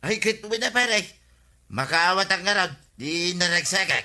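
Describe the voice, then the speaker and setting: A man with an Ilocano Filipino accent playing an excitable, shifty village husband in a radio comedy drama. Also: high voice, moderate pace, heavily acted. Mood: defensive.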